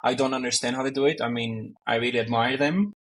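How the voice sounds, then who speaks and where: A man's voice: mid-range voice, quick pace, a bit bright. A man from Greece, a native Greek speaker, chatting as an interview guest and talking with many fillers and restarts. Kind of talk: casual conversation.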